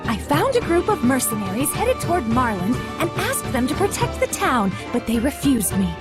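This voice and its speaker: A woman playing a perky, brisk, encouraging young messenger in an animated game, breezy and businesslike. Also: sits high. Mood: reporting. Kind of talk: animated game cutscene acting. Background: loud music.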